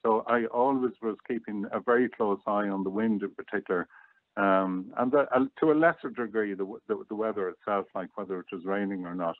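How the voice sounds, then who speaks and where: A man's voice: on the low side, fairly quick, dry recording. A middle-aged Irish man chatting casually as an interview guest, reminiscing over a call. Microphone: online call or phone line. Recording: muffled phone-like, dry online call.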